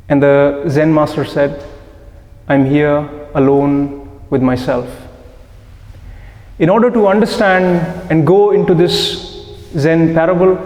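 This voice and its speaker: Slow, mellow man in an echoey hall. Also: a little high. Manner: unhurried, deliberate, pausing between short phrases. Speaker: meditation guide. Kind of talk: guided meditation talk to a live group. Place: indoor room with a seated group. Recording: echoey hall with long reverb.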